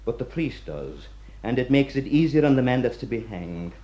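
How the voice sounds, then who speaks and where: A devout Irish prison warder in middle age as acted by a man for a radio play. His voice is very mellow and unforced, his manner deferential, homely and given to musing. The recording is slightly dull. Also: medium pitch, big pitch swings, normal speed, close to an everyday voice. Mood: solemn, musing. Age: middle-aged. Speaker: actor.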